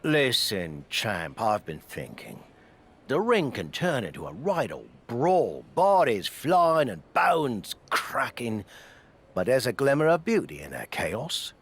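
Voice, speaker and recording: A man voicing a jovial, persuasive pitchman in a wrestling video game, with a theatrical, lilting delivery. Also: huge pitch swings, slow, a bit bright, breathy edge, exaggerated voice. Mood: coaxing, enthusiastic.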